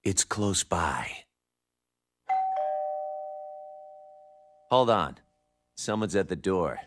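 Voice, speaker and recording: An actor playing a brash, foul-mouthed assassin in a video game, blunt and intense, with a gravelly voice. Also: male voice, deep voice, animated, takes it slowly, in a large hall, noisy background. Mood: gruff, deadpan. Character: brash, foul-mouthed male assassin, cynical yet idealistic. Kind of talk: video game cutscene acting.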